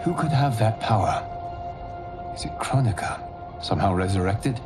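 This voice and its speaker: A man's voice: on the low side, lively intonation, lightly acted. A man voicing a stern, wise guardian god. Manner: measured, commanding. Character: calm male protector god, stern and wise. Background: orchestral game music.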